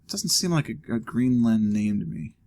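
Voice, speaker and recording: Gravelly man musing over a game. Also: medium pitch, lively intonation, normal speed, darkish tone. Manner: thinking aloud, musing and joking. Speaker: let's play host. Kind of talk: casual gameplay commentary.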